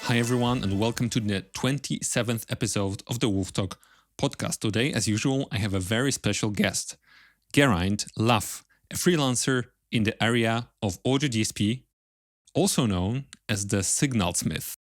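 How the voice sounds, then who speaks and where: A man's voice: fairly low pitch, moderate pace, dry recording, studio quality. A man hosting a podcast, presenting a scripted introduction and putting questions to a guest. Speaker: podcast host.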